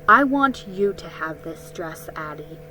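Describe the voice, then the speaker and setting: A woman voicing a kindly, practical woman shopkeeper in a children's story, gently giving instructions. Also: fairly low pitch, animated, a little slow, slight background noise, voice pushed down, rough recording. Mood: kindly, generous.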